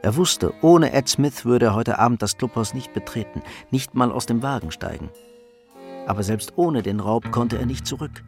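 A man's voice: mid-range voice, expressive, moderate pace, a little mellow, soft background music, lightly acted. A man narrating a crime radio drama in a sober, matter-of-fact literary storytelling manner. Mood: sober, tense.

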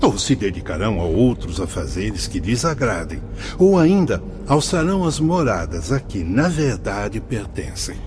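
A man's voice: fairly low pitch, very animated, background noise. An actor in a radio drama playing a kindly, solemn spirit mentor who speaks in a formal, fatherly, preaching way. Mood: kindly, firm. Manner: measured, paternal, preacherly phrasing. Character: kindly solemn spirit mentor, brother Anselmo, paternal guide. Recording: music behind the voice.

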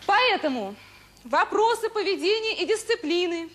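An actress playing an officious, preachy teacher in a radio drama, addressing children in a declamatory, stiffly didactic way. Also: female voice, very high-pitched, animated, moderate pace, a bit bright, a little hiss or hum. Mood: preachy, admonishing.

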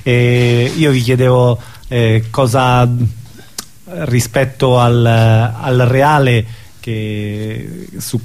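A man's voice: medium pitch, fairly even intonation, drawn-out words, darkish tone. Hesitant male interviewer, searching for words. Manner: hesitant, searching, roundabout questions. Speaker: interviewer, host. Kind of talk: conversational interviewing.

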